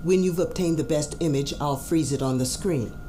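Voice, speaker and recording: A woman's voice: low-pitched, normal speed, background noise, natural voice. A woman playing a formal, courteous doctor giving instructions as an examiner. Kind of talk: scripted exam demonstration.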